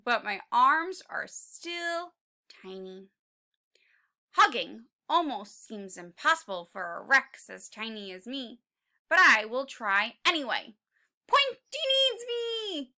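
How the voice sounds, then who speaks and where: A woman's voice: high voice, sing-song, takes it slowly, sharp tone, full character voice. A woman voicing a tiny, earnest young male T. rex in a picture book, determined and emphatic. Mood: plaintive, determined.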